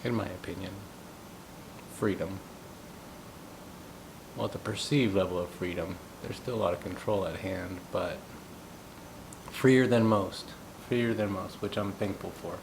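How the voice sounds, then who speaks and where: A man in his thirties, from the United States, talking through his thoughts in a reflective, unhurried personal monologue. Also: creaky. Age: 30s. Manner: thoughtful, unhurried, musing aloud. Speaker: gong practitioner sharing thoughts. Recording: steady low rumbling background noise. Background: rumbling noise.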